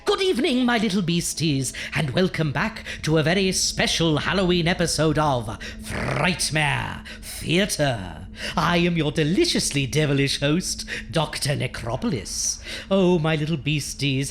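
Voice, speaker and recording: A woman's voice: low voice, sing-song, measured pace, a little hiss or hum, exaggerated voice. A woman playing a playful, wry, ghoulish horror hostess in a radio drama, theatrical and arch with chatty asides. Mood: campy welcoming. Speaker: host.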